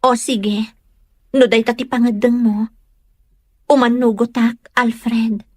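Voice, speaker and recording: A woman's voice: fairly high pitch, little pitch movement, slow, a little mellow, dry recording. A woman playing an earnest, stubborn young village woman, hurt by gossip, in an audio drama. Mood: hesitant, tender. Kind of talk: Ilocano audio drama acting.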